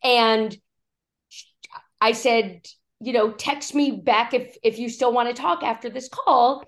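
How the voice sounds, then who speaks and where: A woman executive coach, hoarse from a cough, talking in a podcast interview and acting out quoted speech as she tells stories. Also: fairly high pitch, takes it slowly. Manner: animated storytelling, acts out quoted speech.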